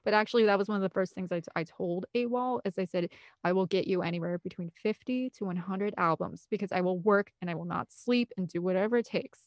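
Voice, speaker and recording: A woman's voice: medium pitch, quick pace, no echo. A woman speaking as an interview guest, talking candidly and emphatically in a rambling, opinionated way. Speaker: interview guest, film composer and director.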